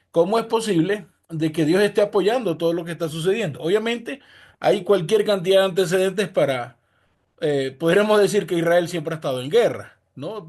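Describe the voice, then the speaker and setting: A man's voice: high-pitched, darkish tone. A man from Venezuela, a pastor, asking a question over a video call in a formal, earnest way. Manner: formal, earnest, some repeated words. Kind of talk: question from a participant in an online class.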